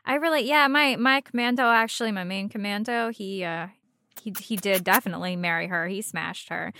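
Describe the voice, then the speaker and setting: A woman's voice: mid-range voice, fairly quick, bright, forceful tone, dry recording, studio quality. A woman co-hosting a casual podcast about video game characters, with playful, wry and teasing banter. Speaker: podcast co-host.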